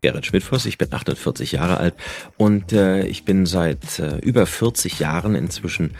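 A man in his forties, a dubbing actor and dialogue director, introducing himself in a halting way with frequent filler sounds. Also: low-pitched, lively intonation, gravelly, a little mellow. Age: 40s. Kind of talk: self-introduction to camera.

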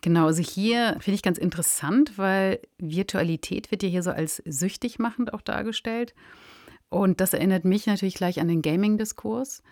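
A woman's voice: medium pitch, moderate pace, a little mellow, no echo, studio quality. A woman speaking conversationally as a guest in a podcast discussion.

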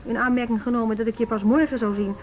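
A woman's voice: on the high side, steady tone, highs cut off, close to an everyday voice. An actress playing a prickly, teasing young female student with a Netherlands Dutch accent in a naturalistic radio drama. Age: young adult. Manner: teasing, quick retorts. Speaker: actor in a radio play.

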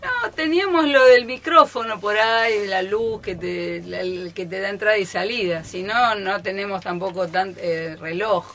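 Mujer argentina de voz brillante, informal. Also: female voice, mid-range voice, normal speed, few pauses, fairly bright tone. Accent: Argentine Spanish. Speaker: theatre workshop student. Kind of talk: casual radio chat. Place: radio studio, live broadcast.

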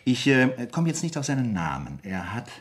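An actor in a German radio play voicing a pedantic, persistent French military captain, formal and insistent, with awkward hesitations. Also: male voice, mid-range voice, very animated, normal speed, natural voice. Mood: probing.

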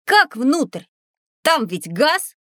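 A curious excitable kid for an educational audio play, high and really animated, dry sound. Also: female voice, drawn-out words, dry recording, exaggerated voice. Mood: curious, amazed. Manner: eager, inquisitive, exclamatory. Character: curious, easily amazed child on educational adventures. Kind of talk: educational audio drama acting.

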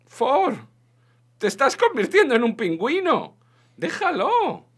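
A man acts out a hapless man adrift in space for a comic science-fiction story. He sounds bewildered and alarmed, exclaiming in a high, animated voice. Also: a little slow, breathy edge, full character voice. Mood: panicked.

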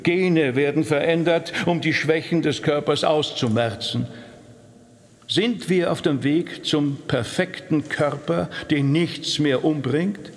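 A harried, earnest rabbi in a radio drama. His voice sits a little high and carries long reverb. He speaks in measured, serious phrases. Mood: solemn preaching. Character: harried, apologetic male rabbi who also preaches. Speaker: actor.

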